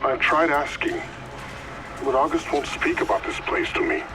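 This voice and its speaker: A man's voice: a little high, fairly even intonation, normal speed, noisy background, close to an everyday voice. An actor playing an earnest, loyal young man in a dramatic video game cutscene. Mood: subdued. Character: young man Tiago, desperate, loyal friend of August. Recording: loud dramatic music behind voice.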